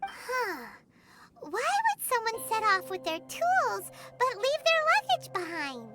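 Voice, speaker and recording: Fairy companion, chirpy, childlike, nosy, extremely high-pitched, wild melody, loud music behind. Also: female voice, wildly swooping pitch, slow pace, heavily acted. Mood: curious. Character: tiny floating female fairy companion, chirpy and curious. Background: soft game music.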